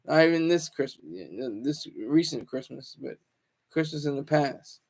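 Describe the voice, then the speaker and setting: A man's voice: on the high side, expressive, normal speed. A man hosting a casual livestream, rambling, teasing viewers and stumbling over restarts. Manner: stammers and restarts often. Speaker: livestream host.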